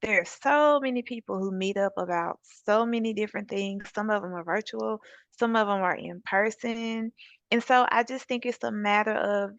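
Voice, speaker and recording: A woman with a gravelly, creaky edge to her voice speaks as a guest, giving encouraging and practical advice. Her sound is dry and close, but the audio is dull and lo-fi, as from a remote call mic. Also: mid-range voice, moderate pace, fairly bright tone, no echo. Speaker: suicide prevention advocate. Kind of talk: conversational interview guest.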